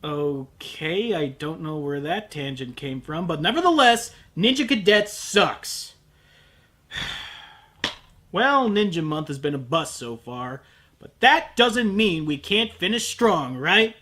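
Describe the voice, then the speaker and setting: Male reviewer, lively swooping delivery, sarcastic and profane rants. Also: fairly high pitch, takes it slowly. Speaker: anime reviewer.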